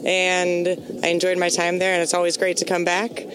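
A woman, a former college basketball player, answering interview questions in a quick, upbeat, jokey way outdoors. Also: on the low side, monotone. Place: outdoor event stage. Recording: busy crowd around.

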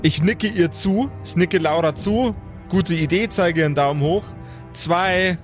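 A man with a slightly high voice plays a tabletop role-playing game on a show. He talks casually and improvises, with faint music underneath. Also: unhurried. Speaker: player in a pen-and-paper show.

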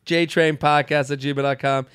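A man's voice: a little high, steady tone, normal speed, fairly bright tone, smooth, dry recording, studio quality. A man hosting a podcast, reading listener emails aloud and giving crude, joking advice in casual chat. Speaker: podcast host.

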